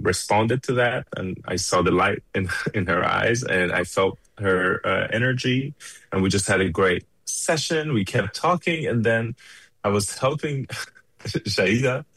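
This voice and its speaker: Low-voiced man from Iran telling stories. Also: creaky, a bit bright, breathy edge, no echo, rough recording. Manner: unhurried, pausing between phrases. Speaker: interview guest, studio engineer. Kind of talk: conversational storytelling.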